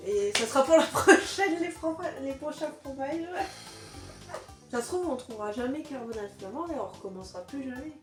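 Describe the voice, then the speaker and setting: A woman's voice: sits high, animated, a little fast, roomy sound, soft background music. A woman co-hosting a casual food tasting chat, teasing her partner and laughing often. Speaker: co-host of a food tasting channel.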